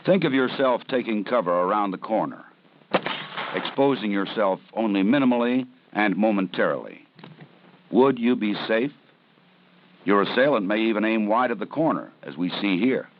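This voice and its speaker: A man with a low voice gives measured, serious narration for a 1970s instructional film. The soundtrack is dull and lo-fi, with a faint hiss behind him. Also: animated. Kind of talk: scripted documentary narration.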